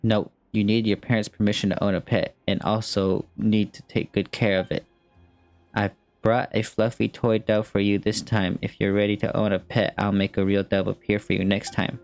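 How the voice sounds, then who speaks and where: Male gaming host, lowish voice, informal and slangy, casual commentary over faint game music. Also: flat delivery, moderate pace, creaky, darkish tone. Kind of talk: casual gameplay commentary, reading game text aloud.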